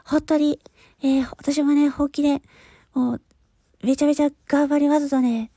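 A woman's voice: sits high, flat delivery, moderate pace, warm tone, dry recording, clean studio sound. A woman hosting her own audio channel, making a casual but polite announcement to listeners in hesitant phrases. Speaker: channel host and voice actor.

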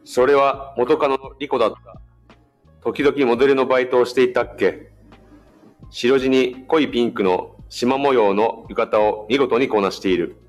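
A male narrator reads a radio drama script. His voice is of average pitch, and faint music runs behind it. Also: fairly even intonation, normal speed.

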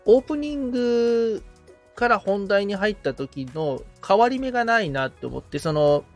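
Male podcast sidekick: slightly high, gravelly voice, informal, thinks aloud, music faintly behind. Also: animated, moderate pace. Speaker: podcast assistant, guitarist receiving advice. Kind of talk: casual chat.

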